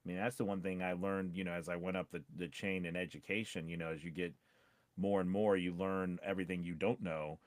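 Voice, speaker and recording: A man's voice: sits low, fairly even intonation, a little fast, no echo. A man co-hosting a casual talk as a voice-over coach, conversational and rambling, repeating points for emphasis. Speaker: podcast co-host and voice-over coach. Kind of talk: casual chat with advice to voice actors.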